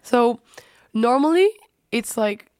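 A woman's voice: fairly high pitch, expressive, takes it slowly, darkish tone, clean tone, no room sound, clean studio sound. A young woman from Spain with a Spanish accent, explaining things informally as a podcast guest. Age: young adult. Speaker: guest, student.

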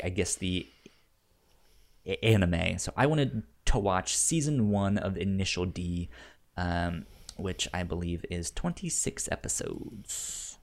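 A man co-hosting a casual review podcast, with a stutter that repeats sounds and a halting, easygoing delivery. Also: sits low. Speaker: podcast co-host.

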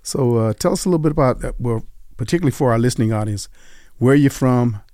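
An older man hosting a veterans' community radio show, chatting in a relaxed, easygoing way and laughing easily. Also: medium pitch, fast, no echo, clean studio sound. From Las Vegas. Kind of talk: casual radio interview.

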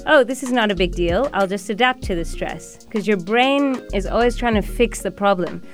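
A woman guest expert explaining clearly in a radio interview, using everyday examples. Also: mid-range voice, normal speed, faint music behind. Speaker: guest expert on brain and performance.

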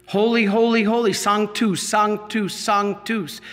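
High, breathy priest in a reverberant hall. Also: male voice, flat delivery, a bit bright. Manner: slow storytelling, halting restarts, rising to emphatic teaching. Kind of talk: sermon with a personal story.

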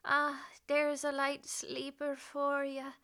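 A woman's voice: sits high, monotone, slow pace, sharp tone, heavily acted. An actress playing a chatty, nosy policewoman neighbor in a radio play, with a folksy, garrulous manner. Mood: folksy, probing. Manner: folksy, garrulous, colloquial, chuckling.

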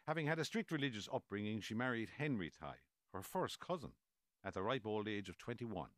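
An actor playing a learned, courteous gentleman in a radio drama, telling a story in formal, measured phrases. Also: fairly low pitch, lively intonation, no echo, studio quality. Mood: measured, knowing.

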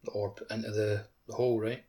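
A man's voice: fairly low pitch, level tone, a little fast, mellow. A man co-hosting a podcast, chatting casually about video games. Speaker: podcast co-host. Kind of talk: casual game chat.

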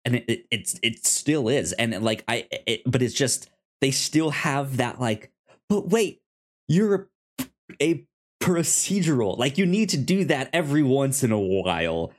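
A man co-hosting a casual TV review podcast, talking in a halting way with frequent stammers and restarted phrases. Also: medium pitch, big pitch swings. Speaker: podcast co-host.

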